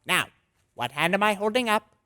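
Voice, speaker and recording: A man playing a nervous, officious personnel examiner in an old-time radio drama, curt and clearing his throat. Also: high-pitched, animated, moderate pace, sharp tone. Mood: irritated, curt.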